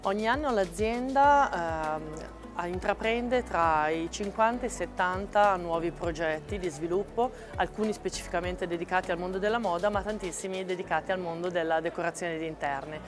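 A woman's voice: on the low side, normal speed. A woman in her forties, a marketing and sales director, speaking in a composed, articulate way at a trade fair stand, with occasional fillers. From Italy. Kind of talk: company interview at a trade fair. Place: exhibition stand at a large indoor trade fair. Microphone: lapel mic. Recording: music bed under the voice.

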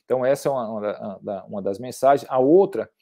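A middle-aged Brazilian man giving an online academic lecture, haltingly, repeating words as he builds his sentences. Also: medium pitch, mellow. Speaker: climate researcher, guest lecturer.

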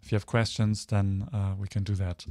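A man's voice: on the low side, flat delivery, moderate pace, warm tone, no echo, studio quality. A man in his thirties from Germany giving an informal online slide presentation, hesitant and often searching for words. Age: 30s. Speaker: guest speaker, photographer.

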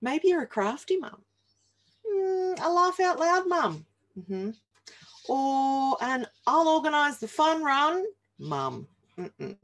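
A woman in her forties with an Australian accent reads comic rhyming verse aloud in a deliberate, wry and playful manner. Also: sits high, sing-song. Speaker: reader of a humorous picture book. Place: small home office, indoors.

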